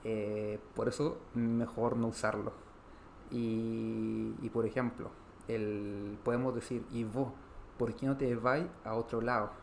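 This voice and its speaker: A man from Chile with a Chilean Spanish accent, explaining grammar in an online language lesson, pausing often on "eh" and restarting phrases. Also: fairly low pitch, flat delivery, vocal fry, darkish tone, a little hiss or hum. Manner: explanatory, frequent "eh" fillers, repeats and restarts. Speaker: Spanish teacher.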